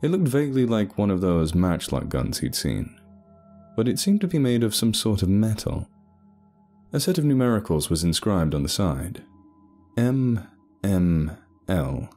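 A man's voice: lowish voice, very animated, normal speed, warm tone. A man narrating a scripted horror story, with faint music behind him.

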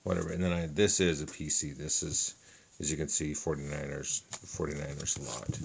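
A middle-aged man calmly listing sports cards one by one at a table, with a lot of fillers. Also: very deep voice, moderate pace, vocal fry, a little hiss or hum. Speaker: card collector. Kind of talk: casual card unboxing narration. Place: indoors at a table.

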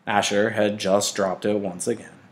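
Male narrator, lower register, expressive audiobook-style reading of fiction with deliberate phrasing. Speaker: teacher reading a novel aloud.

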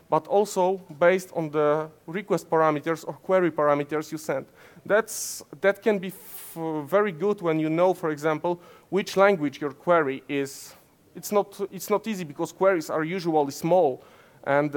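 A man in his thirties from Poland with a Polish accent, giving a technical conference talk with many fillers and restarts. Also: on the high side. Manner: many fillers and self-corrections, gesturing while explaining. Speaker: conference speaker. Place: conference stage in front of slides, indoors.

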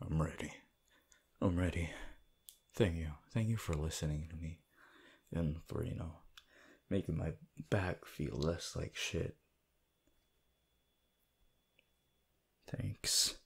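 A laid-back, sleepy anime hero student played by a man speaks in a low, creaky voice. He takes his time, murmuring intimately to the listener in a grateful ASMR roleplay. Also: measured pace, exaggerated voice. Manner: drowsy, sighing, intimate murmur.

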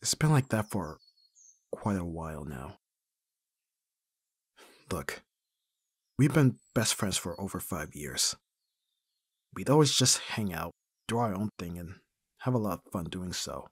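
A man acting a flustered young best friend in love in an intimate ASMR roleplay, husky and breathy, with a hesitant, stammering delivery. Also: deep voice, very animated, a little slow, pauses often, dry recording, studio quality, heavily acted. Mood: nervous confession. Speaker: audio roleplay voice actor.

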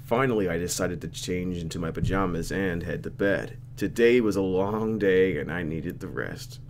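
A man's voice: low-pitched, normal speed, a little hiss or hum. A young man doing a let's play, reading a visual novel aloud with playful, reactive commentary.

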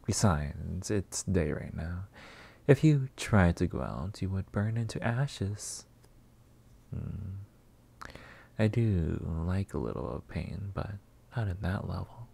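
A man playing a flirty, possessive, delusional vampire master in a roleplay ASMR monologue, teasing and theatrical with drawn-out words. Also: deep voice, lively intonation, vocal fry, mellow, husky, exaggerated voice. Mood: smug teasing.

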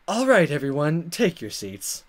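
A man voicing a smug, commanding male schoolteacher in a visual novel, measured and goading. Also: fairly high pitch, very animated, faint music behind.

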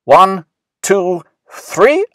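A man's voice: high voice, extremely slow, dry recording, clean studio sound, voice pushed up, exaggerated voice. A man voicing an imaginative young schoolboy who dramatically plays at being a hero. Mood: commanding. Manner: excitable, dramatic, calls out boastfully. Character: imaginative young schoolboy full of make-believe.

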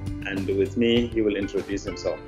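A man's voice: fairly low pitch, steady tone, moderate pace, music in the background. An older man with a Nigerian accent giving formal, hesitant interview answers as a company director on a video call. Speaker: managing director, interview guest. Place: indoors in an office room on a video call. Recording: dull, faintly noisy video call.

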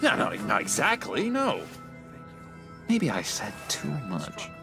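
An actor playing an eager, unhinged young schemer in a video game, halting and wheedling with sinister warmth. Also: male voice, medium pitch, huge pitch swings, over music, exaggerated voice. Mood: coaxing, fond. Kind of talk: video game character acting.

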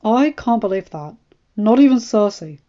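A woman's voice: medium pitch, expressive, normal speed, dark tone, highs cut off, lightly acted. A woman voicing an anxious, honourable, stern lord in an earnest, worried manner. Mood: worried, protesting.

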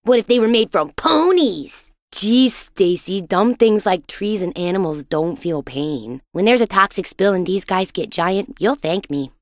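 A woman voicing a boisterous, imaginative and boastful young boy in a cartoon-style video game, with an excitable, dramatic, childlike delivery. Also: medium pitch, measured pace, hardly any pauses, no room sound, studio quality. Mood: earnest, bragging. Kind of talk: animated video game voice acting.

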